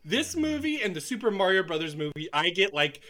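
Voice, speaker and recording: A man's voice: high-pitched, very animated, brisk, pushed and bright. A man on a podcast panel giving casual opinions, repeating himself for emphasis.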